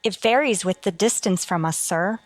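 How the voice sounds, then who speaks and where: An actress playing a dutiful, level-headed starship officer in a sci-fi radio drama, reporting formally and earnestly. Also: female voice, mid-range voice, normal speed, lightly acted. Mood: alarmed. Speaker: actor. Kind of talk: golden age sci-fi radio drama acting.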